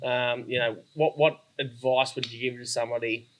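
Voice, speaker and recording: Bright-voiced man asking hesitant questions. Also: mid-range voice, steady tone, moderate pace, slight background noise. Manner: hesitant, filler-heavy questioning. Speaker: podcast host. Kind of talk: casual interview questions.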